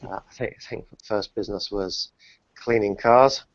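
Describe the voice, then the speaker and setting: A man's voice: lowish voice, steady tone, normal speed, no room sound. Man, thirties, from the UK, stop-start speech, dull dry remote audio. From New Zealand, grew up in the UK. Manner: hesitant, many restarts and fillers, self-deprecating. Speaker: guest entrepreneur. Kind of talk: casual interview answers. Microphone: remote call, dull lo-fi and dry.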